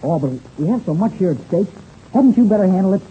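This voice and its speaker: Eager boyish pilot, muffled old radio. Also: male voice, high-pitched, lively intonation, quick pace, a little hiss or hum. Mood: eager, anxious. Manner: boyish, earnest, slangy exclamations. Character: eager, earnest young boy pilot. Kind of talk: 1930s radio adventure serial acting.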